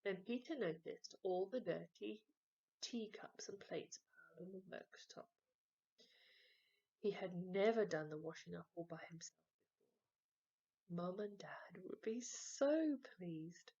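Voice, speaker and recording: A woman storyteller with a creaky voice, narrating a children's story expressively. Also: lowish voice, expressive, a little slow, pauses often, dark tone, highs cut off.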